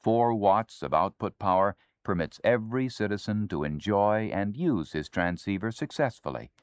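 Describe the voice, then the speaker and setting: An American man reading scripted instructional narration in a formal, measured, authoritative manner. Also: fairly low pitch, lively intonation, clear, resonant tone, no room sound. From United States. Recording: dry 1970s spoken-word LP.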